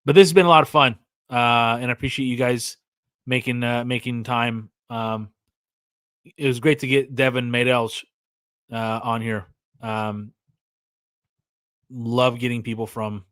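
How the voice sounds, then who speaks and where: Casual American host, 40s, rambling livestream chatter, mid-range voice, dry close mic. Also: male voice, medium pitch, a bit bright, no room sound. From California, USA. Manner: rambling, lots of fillers and restarts, reads chat aloud. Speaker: podcast host.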